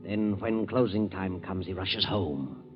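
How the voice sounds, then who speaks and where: A man's voice: low voice, takes it slowly, soft background music. A man narrating a 1940s radio drama in dramatic scripted style, on a muffled vintage recording. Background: musical accompaniment.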